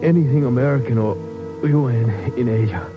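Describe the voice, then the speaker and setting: An actor playing a dying, wry American soldier in a 1950s radio drama, halting and weak, with slangy tough talk. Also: male voice, medium pitch, close to an everyday voice. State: weak, fading, mortally wounded. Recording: muffled old radio recording with music.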